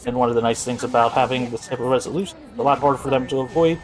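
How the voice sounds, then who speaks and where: A man's voice: medium pitch, rapid-fire, poor audio. A man giving casual, rambling, explanatory live commentary as he speedruns a video game. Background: video game music and sounds.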